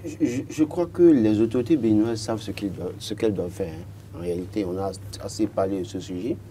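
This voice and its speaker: Assertive Beninese man, low dark voice. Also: quick pace, slight background noise. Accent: Beninese French. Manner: assertive, argumentative, stutters on repeated words. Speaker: political commentator on a panel. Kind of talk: TV panel debate commentary.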